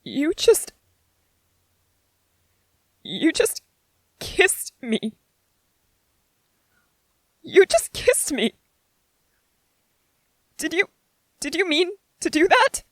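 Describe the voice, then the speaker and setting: A woman's voice: very high-pitched, sing-song, extremely slow, pauses often, no echo, exaggerated voice. A woman playing a tender, anxious young man in an ASMR roleplay drama, stammering and repeating phrases as they speak to the listener. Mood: flustered, disbelieving.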